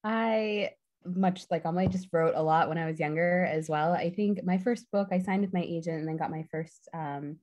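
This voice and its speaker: A woman's voice: fairly low pitch, normal speed, a little mellow. A young woman raised in the US, an author speaking earnestly and a bit rambling on a video call panel. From United States. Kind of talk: casual panel chat.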